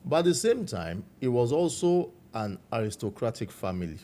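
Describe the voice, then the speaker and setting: A man with a Nigerian accent, a guest recounting his life story in a measured, reflective way. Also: medium pitch, sing-song. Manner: measured, warm storytelling with frequent "you know".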